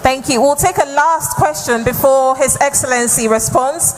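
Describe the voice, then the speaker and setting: A woman's voice: sits high, normal speed. A woman moderating a town hall, firmly and politely keeping order and calling on questioners. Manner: firm, polite crowd control. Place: large hall with crowd. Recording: echoey hall, noisy crowd.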